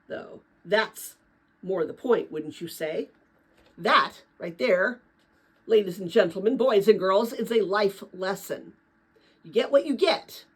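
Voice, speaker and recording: A woman plays a kindly middle-aged father in a storybook read-aloud. He gives encouraging advice in a measured, emphatic way, with varied pitch and a slightly husky tone.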